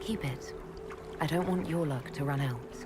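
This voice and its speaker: An actress playing a composed, caring mage leader in a video game drama, measured and gently wry in her delivery. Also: low voice, very noisy, lightly acted. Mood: steady.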